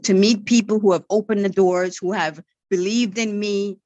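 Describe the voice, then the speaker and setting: A woman's voice: medium pitch, steady tone, normal speed, dark tone, no echo, muffled recording. A woman guest who stutters, explaining things patiently with many repeated words and tag questions. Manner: stutters, repeats words, says "right?" often. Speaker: marketing and media relations director, podcast guest. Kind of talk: interview answers.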